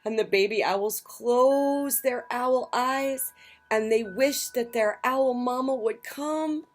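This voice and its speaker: A woman hosting a children's story time, reading picture books aloud in a theatrical, emphatic way with swells and hushes. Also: a little high, slow. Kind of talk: expressive picture-book read-aloud. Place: outdoors on a porch.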